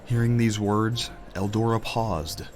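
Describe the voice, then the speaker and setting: Male narrator for fantasy audio drama: very low voice, theatrical, deliberate storytelling over music. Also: fairly even intonation.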